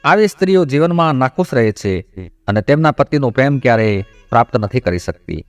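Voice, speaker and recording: A man's voice: mid-range voice, lively intonation, fairly quick, dry recording. A man narrating a scripted moral story in a didactic manner. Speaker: narrator of devotional moral stories. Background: background music.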